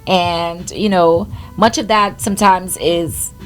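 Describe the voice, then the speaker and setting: A woman's voice: lowish voice, steady tone, slow, faint music behind, low-quality recording. A woman from Guyana with a Guyanese accent, co-hosting a podcast in casual chat. She laughs often and restarts her phrases. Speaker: podcast co-host.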